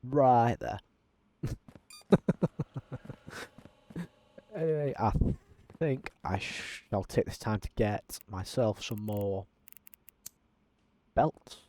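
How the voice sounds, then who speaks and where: A man talking through a simulator game as a channel host, in a self-described monotone with frequent ums. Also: on the low side, extremely slow, long pauses. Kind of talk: casual gameplay commentary.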